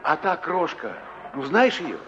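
An actor playing a cheeky, leering construction worker who heckles and calls out in a 1960s radio play. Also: male voice, a little high, big pitch swings, measured pace. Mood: teasing. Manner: jeering, calling out. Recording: muffled, very noisy vintage radio recording.

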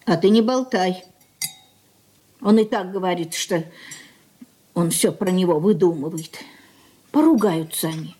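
An actress in a Soviet radio drama playing a worried, soft-hearted mother of a merchant household, sighing and fretful. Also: on the low side, expressive, measured pace, long pauses, husky.

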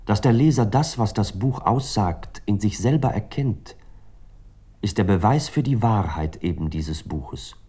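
A thoughtful male novelist in a radio play, low dark soft voice, measured and formal. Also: lively intonation, husky. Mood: contemplative. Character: reflective male writer musing on his work. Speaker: actor reading the novelist-narrator's words. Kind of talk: literary radio drama monologue.

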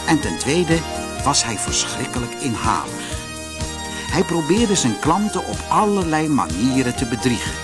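An expressive male storyteller with a Dutch accent from the Netherlands narrates a fairy tale. His pitch moves in lively swings and his voice has a husky grain. Faint music is mixed in with the reading. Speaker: audiobook narrator.

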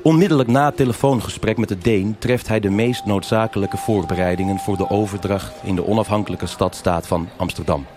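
A man with a fairly low voice narrates a noir radio play. His delivery is measured and formal, like scripted storytelling, and music plays loudly behind him. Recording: live stage radio play over loud music.

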